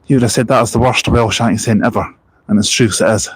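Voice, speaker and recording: A man's voice: fairly low pitch, steady tone, fast, breathy edge, no echo. Chatty man on a live stream. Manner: rambling, chatty, spots buses and reads out comments. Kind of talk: casual live-stream chat. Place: outdoors at a bus park and ride.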